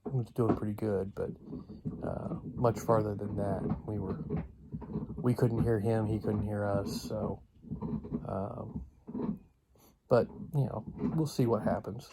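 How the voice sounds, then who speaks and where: A man giving a casual, unscripted vlog update in a halting, rambling way with long pauses. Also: on the low side, steady tone, very noisy. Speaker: video blogger.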